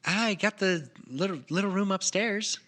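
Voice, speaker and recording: A man's voice: sits high, normal speed, a bit bright. A man running a tabletop game as game master, narrating to the players and calling for rolls.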